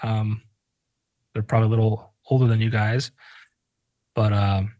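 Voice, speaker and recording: Animated self-mocking man in his forties. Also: on the low side, a little slow, no room sound, highs cut off. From northeastern Pennsylvania. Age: 40s. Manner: animated, self-mocking storyteller who swears freely. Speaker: podcast host. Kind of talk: casual chat.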